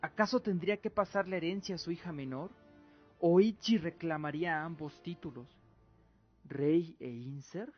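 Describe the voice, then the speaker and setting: Higher-pitched male drama narrator over music. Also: normal speed. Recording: dull lo-fi recording with music.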